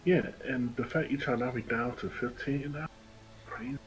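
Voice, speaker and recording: A man's voice: medium pitch, brisk, soft background music, rough recording. A man in a casual voice chat, speaking bluntly and with a griping manner.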